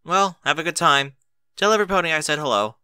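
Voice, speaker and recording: Young wisecracking dragon boy played by a man, casual delivery, lively intonation, very dry sound. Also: a little high, quick pace, clear, resonant tone, no room sound, voice pushed up. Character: young male dragon, sarcastic, laid-back kid sidekick.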